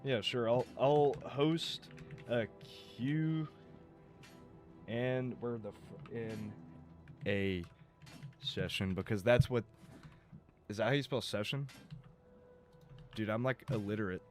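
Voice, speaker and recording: A man streaming a game live, talking casually and rambling, his voice going a bit rough as he loses it. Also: medium pitch, slow pace, pauses often, soft background music. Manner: rambling, chatty, swears and laughs. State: losing his voice.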